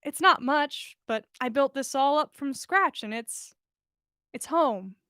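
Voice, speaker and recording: A woman's voice: high voice, lively intonation, takes it slowly, pushed and bright, dry recording, clean studio sound, voice pushed up, heavily acted. A woman reading the part of a shy, earnest young man in a story, hesitant and modest.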